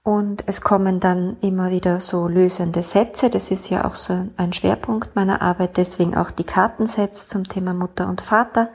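A woman's voice: lowish voice, fairly even intonation, moderate pace, few pauses, breathy edge. A woman who runs family constellation sessions, telling stories from her work in a loose, rambling way with many fillers and self-corrections. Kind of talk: casual storytelling monologue.

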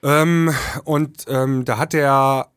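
A German man co-hosts a podcast in a mid-pitched voice. He talks casually and explains things step by step, often tagging phrases with a questioning check. The recording is close and dry. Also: fairly even intonation, extremely slow, clean tone, dry recording. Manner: explanatory, with frequent tag questions. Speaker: podcast co-host.

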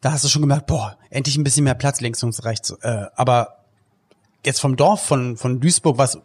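A man hosting a podcast, chatting casually in colloquial language with animated exclamations. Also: medium pitch, normal speed, husky. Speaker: podcast host. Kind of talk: casual chat.

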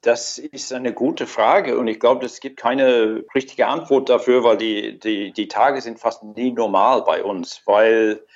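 A man's voice: mid-range voice, fairly even intonation, measured pace, few pauses. Middle-aged guy from australia, stumbling a bit for words, dull lo-fi video call sound. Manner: hesitant, repeats words, searches for phrasing. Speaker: guest, orchestra horn player. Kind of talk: casual chat.

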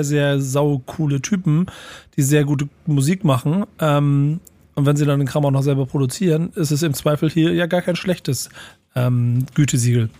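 A man's voice: fairly high pitch, no room sound. Tired, slightly sniffly male podcast host. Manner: relaxed, chatty moderator with many fillers. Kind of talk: casual podcast chat.

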